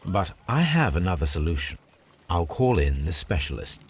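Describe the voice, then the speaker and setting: An actor playing a keen, confident middle-aged British gentleman detective in a video game, speaking formally and precisely. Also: low-pitched, very animated, normal speed, husky, full character voice. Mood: musing. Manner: precise, formal, articulate, self-assured. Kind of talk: video game cutscene acting, deductive monologue.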